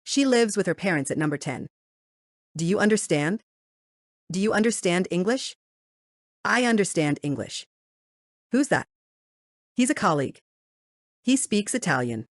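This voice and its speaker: A woman reads short example phrases slowly and clearly, with plenty of pitch movement. The recording is dry and close. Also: sing-song, no echo. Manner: measured, clear reading. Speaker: course voice reading example phrases. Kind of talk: scripted example sentences.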